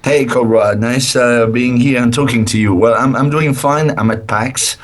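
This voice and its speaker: A middle-aged man, a game developer sounding tired and a bit hoarse, speaking hesitantly with many fillers. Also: medium pitch, fairly even intonation, normal speed. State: tired, nearly lost his voice. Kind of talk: casual interview over a call.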